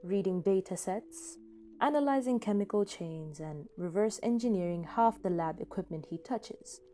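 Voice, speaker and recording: A woman's voice: mid-range voice, expressive, normal speed. A woman narrating a scripted second-person story as the reader of a podfic. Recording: soft music behind the voice. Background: soft background music.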